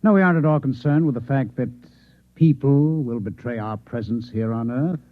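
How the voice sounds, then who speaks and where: A man playing a coolly menacing Martian infiltrator posing as a newspaper man in an old-time radio drama, measured, formal and insinuating. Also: medium pitch, lightly acted. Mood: controlled, probing. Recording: echoey, phone-like old radio drama recording.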